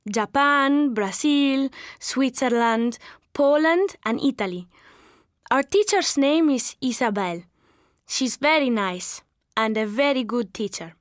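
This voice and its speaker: A woman reading a beginners' English course text in a slow, careful, clearly spoken way. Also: high-pitched, no room sound, clean studio sound. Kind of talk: slow scripted textbook reading.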